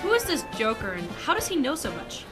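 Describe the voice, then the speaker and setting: A woman's voice: sits high, wildly swooping pitch, a little fast, music in the background. A woman playing a bossy, hostile gun-toting crook in a detective radio drama, curt and scornful.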